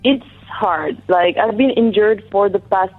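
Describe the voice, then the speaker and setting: A young woman from France answering interview questions in English, soft-spoken and halting, with pauses. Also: mid-range voice, faint music behind. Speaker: guest, athlete. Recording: tinny phone-like line.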